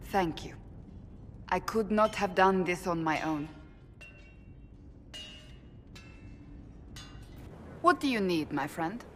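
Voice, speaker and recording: An actress playing a stern, devout woman warrior in a video game cutscene, speaking gravely and formally. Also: lowish voice, a bit bright, lightly acted. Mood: sober, sincere. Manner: grave, formal, deliberate phrasing. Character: stern, devout woman warrior of a holy order, troubled and earnest. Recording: reverberant hall, faint background noise.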